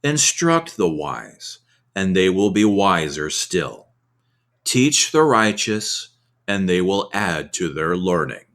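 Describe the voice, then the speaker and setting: A man reading scripture in an ASMR style, with measured, deliberate phrasing and pauses. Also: low-pitched, very animated, fairly bright tone. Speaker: scripture reader. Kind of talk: soft-paced ASMR Bible reading.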